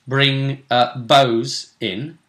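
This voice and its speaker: A man's voice: medium pitch, level tone, drawn-out words, a bit bright. A man hosting a casual, pundit-style discussion of a role-playing game, explaining things chattily and checking for agreement. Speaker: host and game master.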